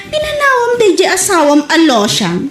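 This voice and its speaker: Woman acting a high-voiced, flirty Ilocano mistress. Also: heavily acted. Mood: teasing. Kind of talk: Ilocano audio comedy drama acting. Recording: echoey room.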